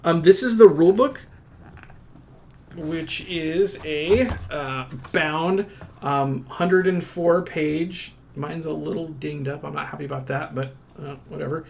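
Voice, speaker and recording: A man with a creaky, gravelly voice giving a casual product walkthrough in a halting, self-interrupting way. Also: a little high, a little mellow, a little hiss or hum. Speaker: unboxing presenter.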